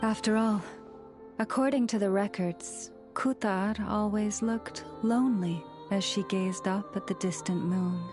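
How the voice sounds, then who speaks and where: Slow, solemn young moon priestess, played by a woman. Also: medium pitch, over music, lightly acted. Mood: solemn, wistful. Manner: measured, formal, reverent. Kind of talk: video game cutscene acting.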